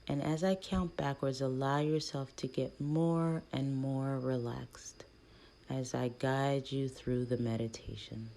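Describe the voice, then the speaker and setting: A woman leading a guided meditation in a gentle, hushed manner, speaking in short soothing phrases with long pauses between them. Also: very deep voice, fairly even intonation, gravelly, a little hiss or hum, low-quality recording.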